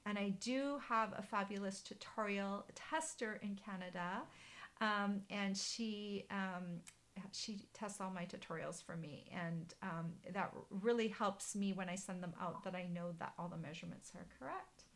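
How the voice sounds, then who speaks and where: A middle-aged woman, German her first language, chatting to viewers in a casual live stream from a bright living room, with many ums and restarts. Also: mid-range voice, measured pace. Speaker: papercraft demonstrator hosting a live stream.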